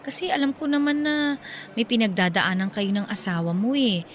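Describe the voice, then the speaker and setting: A woman's voice: fairly high pitch, moderate pace, slight background noise, natural voice. An actress playing a considerate, bashful young mother in a Filipino radio drama, speaking in a hesitant, apologetic way.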